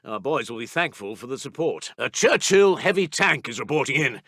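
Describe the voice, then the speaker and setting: A male actor playing a brisk, urgent British wartime intelligence officer in a war game, giving clipped, formal military announcements with a British accent. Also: mid-range voice, animated, a bit bright, no room sound, clean studio sound. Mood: brisk, upbeat. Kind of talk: scripted game unit announcements.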